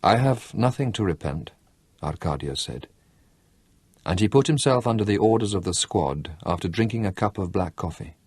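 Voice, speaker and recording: A man narrating a novel as an audiobook, in a measured storytelling manner. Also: fairly low pitch, a little mellow, breathy edge, no echo, studio quality.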